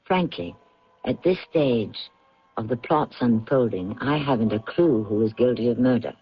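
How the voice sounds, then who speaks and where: A man's voice: mid-range voice, animated, moderate pace, breathy edge. Theatrical male radio mystery host. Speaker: host and narrator. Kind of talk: scripted radio drama introduction. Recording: dull lo-fi radio recording.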